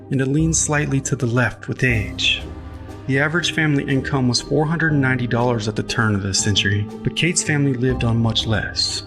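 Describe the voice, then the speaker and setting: A man reading scripted literary fiction as a podcast narrator, with a measured storytelling delivery. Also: mid-range voice. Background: music, guitar.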